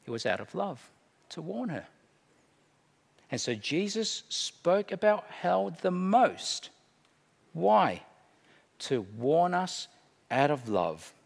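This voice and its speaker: A middle-aged man preaching a sermon, emphatic, swinging between hushed and loud delivery. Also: high voice, sing-song, takes it slowly, gravelly, long pauses, breathy edge. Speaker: pastor. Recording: long hall reverb.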